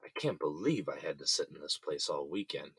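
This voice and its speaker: Guy doing a betrayed exec stuck in jail, deep husky voice, desperate, dry audio drama sound. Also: lively intonation, fairly quick, a bit bright, no room sound, full character voice. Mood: anxious. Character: indignant jailed architecture company boss, desperate and betrayed.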